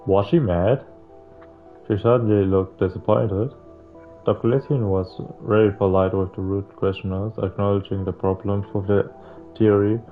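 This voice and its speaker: A man's voice: on the low side, steady tone, normal speed, narrow, tinny audio, faint music behind. A man hosting a visual novel playthrough, reading the game text aloud and adding casual, slangy, sometimes sweary commentary. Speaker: video game playthrough host.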